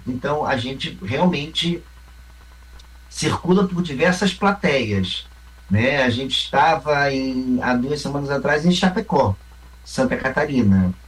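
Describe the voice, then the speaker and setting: Man, Carioca accent, theatre actor, reflective, explains with examples, mid pitch. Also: distant mic, low-quality recording. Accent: Carioca Brazilian Portuguese. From Rio Grande do Sul, Brazil. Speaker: theatre company member, mime actor. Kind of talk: interview answers.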